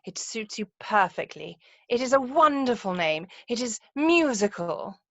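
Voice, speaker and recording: A self-assured young society lady acted by a woman, high-voiced and very animated, romantic yet precise in every phrase. It is a stage comedy read-through, heard through dull, muffled call audio. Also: measured pace, no echo, exaggerated voice. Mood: firm, certain. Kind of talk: amateur play read-through. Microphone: video call.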